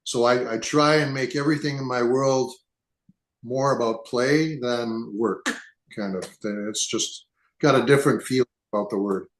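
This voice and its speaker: A man's voice: mid-range voice, unhurried. Reflective older man in an echoey room. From England. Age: 70s. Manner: thoughtful, rambling with frequent restarts. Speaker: podcast guest. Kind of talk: relaxed conversational interview.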